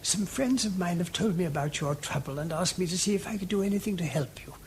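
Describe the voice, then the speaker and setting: A man with a British accent playing a gentle, inquisitive priest-detective in a radio drama, musing aloud politely and a little hesitantly. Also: sits high, fast talker, slight background noise. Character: gentle, inquisitive, mild-mannered English priest-detective, male.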